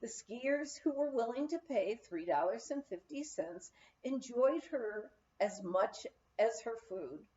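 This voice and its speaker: Female audiobook narrator, higher voice, unhurried storytelling delivery, slightly dull recording. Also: animated, a little mellow. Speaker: audiobook reader. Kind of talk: scripted memoir narration, read aloud.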